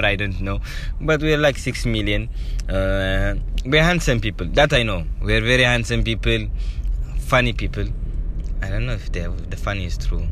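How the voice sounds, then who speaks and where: A man's voice: lowish voice, pushed and bright, a little hiss or hum. A man in his thirties from Eritrea, joking and rambling as he drives, often repeating himself. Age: 30s. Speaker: vlogger. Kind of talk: casual rambling monologue while driving. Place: in a car in traffic.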